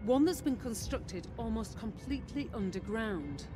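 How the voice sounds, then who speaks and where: An actress voicing a steady, loyal female officer in a video game, giving briefings in a measured, serious way. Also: fairly high pitch, noisy background, close to an everyday voice. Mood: intrigued. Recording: music behind the voice.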